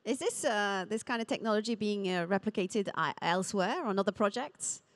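A woman's voice: mid-range voice, brisk, no echo. A woman in her forties hosting an on-stage interview, asking questions and encouraging with short affirmations. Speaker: event host, interviewer. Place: large industrial hall. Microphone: handheld mic.

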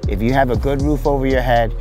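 A man's voice: medium pitch, fairly even intonation, quick pace. A man in his forties hosting a casual roofing vlog on site, upbeat and salesy, talking to viewers as "guys" while standing on a house roof. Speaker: roofing contractor and channel host. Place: outdoors on a house roof and front yard. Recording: background music under the voice.

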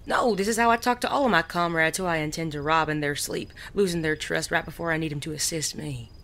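An actor playing a suave, teasing male gentleman bandit in a Western audio drama, genteel and wry. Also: mid-range voice, fast talker, close to an everyday voice. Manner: genteel, wry, polite wording.

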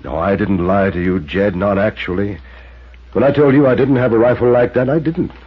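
An actor playing a defensive, folksy rural widower under suspicion, uneasy and protesting, in 1950s radio drama style. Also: male voice, fairly low pitch, fairly quick, breathy edge. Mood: indignant, uneasy. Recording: muffled 1950s radio recording.

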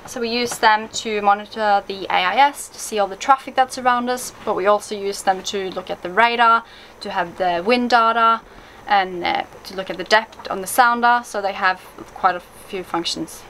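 A young woman co-hosting a sailboat tour, explaining things clearly and matter-of-factly from inside the cabin. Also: medium pitch, moderate pace, fairly bright tone, a little hiss or hum, rough recording. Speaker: sailing vlog co-host. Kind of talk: casual boat tour explanation.

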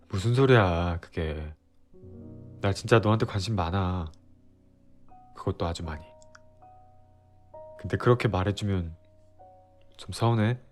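An actor playing an earnest young boyfriend who is clumsy with words, speaking straight to his girlfriend and pleading with her softly. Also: on the low side. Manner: pleading softly, then ranting in a rush. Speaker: boyfriend in a role-play drama. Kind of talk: listener-directed role-play drama.

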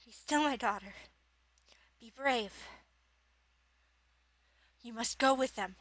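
A woman acts a brave, solemn mother in a drama. She speaks slowly and softly, giving grave but tender instructions to her child. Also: on the high side. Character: solemn, self-sacrificing mother calming her daughter.

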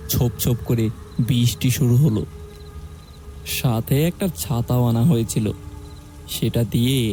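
A young man narrating a horror story in a steady, measured way. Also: mid-range voice.

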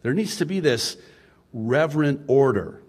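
A man's voice: medium pitch, animated, a little slow, a little mellow. Male pastor, 50s, Arabic native speaker, conversational pulpit delivery with chuckling asides. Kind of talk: sermon.

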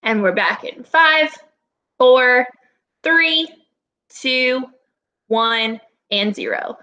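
A woman in her twenties, a teacher giving a read-aloud lesson to young children in a deliberate, instructive way. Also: high voice, animated, bright, forceful tone, lo-fi sound. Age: 20s. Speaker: elementary school teacher. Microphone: laptop webcam at arm's length.

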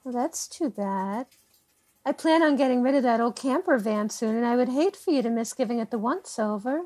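A woman's voice: fairly high pitch, expressive, moderate pace, a little mellow. A woman playing a chatty, hospitable small-town host in an audio drama, effusive, folksy and coaxing. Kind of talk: naturalistic audio drama acting.

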